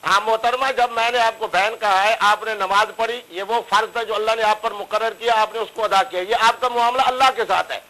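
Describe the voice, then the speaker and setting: A man with a Pakistani Urdu accent argues as a cleric guest on a television debate. His voice sits unusually high and sounds bright and forceful. His formal, preachy religious diction comes through a narrow, radio-like remote line. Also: fairly even intonation, fairly quick, few pauses. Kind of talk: heated TV debate.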